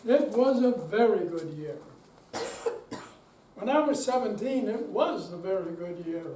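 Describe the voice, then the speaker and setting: Older male amateur reader, high-pitched for a man, slow dramatic delivery, recorded far off in an echoey room. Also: expressive, warm tone. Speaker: amateur readers theater performer. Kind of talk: slow dramatic reading on stage. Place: room at a senior center. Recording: distant, echoey room with faint noise.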